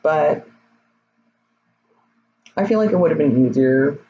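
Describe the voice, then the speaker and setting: Slightly raspy young man, candid vlog. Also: a little high, lively intonation, slow, warm tone. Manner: candid, rambling, hesitant with many fillers. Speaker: vlogger. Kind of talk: casual personal vlog. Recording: slightly dull, faint noise.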